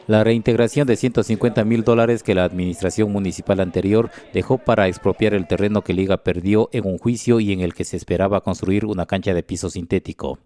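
A man's voice: on the low side, dark tone. A man delivering scripted news narration as a reporter.

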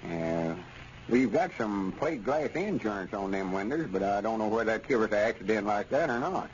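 An actor playing an earnest old country storekeeper with a rural Southern accent and folksy talk, in old radio comedy style. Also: male voice, on the low side, animated, moderate pace, natural voice. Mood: rueful. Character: earnest, plain-spoken old country storekeeper, proud but forgiving. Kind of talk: 1940s radio comedy acting. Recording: dull, noisy old radio recording.

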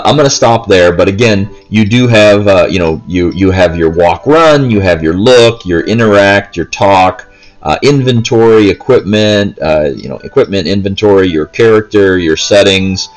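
Male gameplay host: lowish voice, informal, unscripted, meandering and hesitant. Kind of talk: casual gameplay commentary. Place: indoors, in a room while playing a handheld console.

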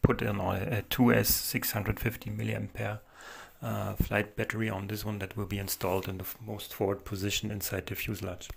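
A man narrating a model helicopter build in a relaxed voice-over, pausing often with fillers. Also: fairly low pitch, vocal fry, husky. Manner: hesitant, many fillers, soft-spoken. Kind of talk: casual build walkthrough voice-over.

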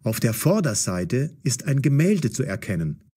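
A man narrating a scripted story for language learners in a deliberate, carefully enunciated, dramatic storytelling style. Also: mid-range voice, sing-song, mellow, slightly rough.

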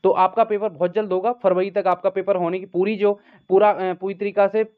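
High-pitched man, phone-like sound. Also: flat delivery, fairly quick. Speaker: host of an exam news channel. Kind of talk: informal exam update addressed to students. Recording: thin, phone-like band-limited sound.

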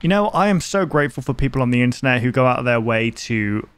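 Excitable guy chatting thru a reaction vid, mid voice, a bit of music behind. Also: mid-range voice, fast. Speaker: host reacting to videos. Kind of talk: reaction commentary.

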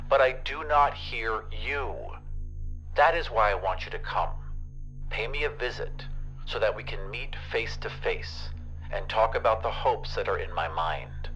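Grave, earnest adult son for an audio drama, acted by a male reader: hushed, pleading, intense. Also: medium pitch, poor audio. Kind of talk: audio drama story reading.

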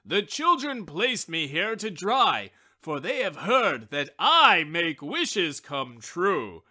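A woman's voice: on the low side, very animated, takes it slowly, voice pushed down, full character voice. A woman doing a pompous boastful wishbone. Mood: haughty. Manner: haughty, declaiming loudly.

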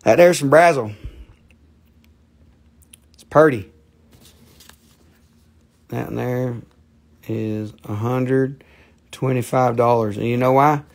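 Gravelly Southern man selling rocks. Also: medium pitch, slow pace, pauses often, darkish tone. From southern United States. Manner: rambling, joking, cursing, playful bits of put-on accents. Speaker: live-stream mineral seller. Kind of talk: casual live sales show. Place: indoor room with a table of mineral specimens.

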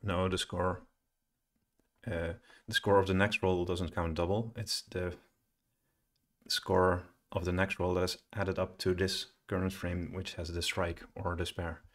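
A middle-aged man with a low-pitched voice presents a programming screencast. He thinks aloud in a halting way, often muttering to himself as he works at his computer. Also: flat delivery. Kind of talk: thinking aloud while coding. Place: small room indoors at home, at a computer. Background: keyboard typing and clicking.

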